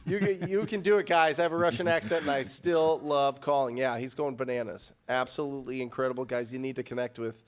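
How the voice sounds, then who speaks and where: A man in his thirties hosting a live show from a studio, answering viewer questions in a lively, upbeat way with many restarts, speaking into a boom mic. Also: a little fast, hardly any pauses. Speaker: host. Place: studio with purple backdrop.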